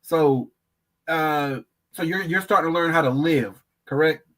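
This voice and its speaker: Brief: man in his thirties, candid conversational interviewer, slightly high voice. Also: measured pace, a bit bright. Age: 30s. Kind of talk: casual interview.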